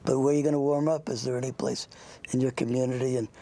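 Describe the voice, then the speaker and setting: An older interviewer with a gravelly, husky voice, chatting informally and haltingly with a young guest, often rambling into anecdotes. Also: medium pitch, level tone, dark tone. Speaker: interviewer, show host.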